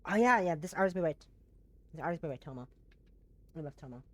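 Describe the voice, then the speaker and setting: This is a woman streaming live and chatting with her viewers. Her voice sits a little low, and it is hoarse and stuffy-nosed from a blocked nose. She talks in a friendly, rambling way, restarting her sentences as she goes. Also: animated, fast talker. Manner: chatty and warm to chat, frequent restarts. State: hoarse, voice going.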